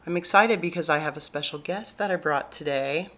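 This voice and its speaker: A woman's voice: low-pitched, a little fast, a bit bright. A woman hosting a children's story time, talking and reading aloud expressively to young listeners.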